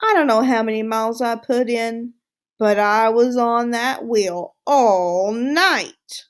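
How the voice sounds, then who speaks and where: A woman voicing a smug, excitable male pet hamster in a storybook read-aloud, boastful and exclamatory. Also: fairly high pitch, slow. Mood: proud, eager. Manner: boastful, self-satisfied, exclamatory.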